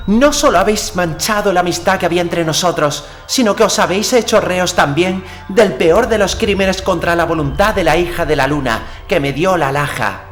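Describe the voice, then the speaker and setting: A man's voice: high voice, voice pushed up, close to an everyday voice. A man voicing a proud, wounded boy ruler who speaks in a grave, imperious, declamatory way in an audiobook. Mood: stern, accusing. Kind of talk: audiobook character acting in Spanish.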